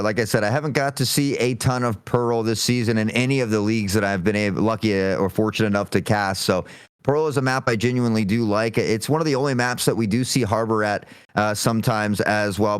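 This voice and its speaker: A man working as an esports caster, calling a live match play by play in a measured, orderly way. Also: lowish voice, clear, resonant tone, no echo.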